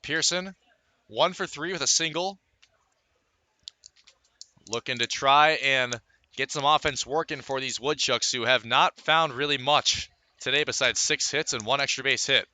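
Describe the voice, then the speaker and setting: A man doing live baseball play-by-play as a radio announcer, describing the action in a measured way with pauses between calls. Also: medium pitch, pushed and bright, no room sound. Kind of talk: live sports commentary.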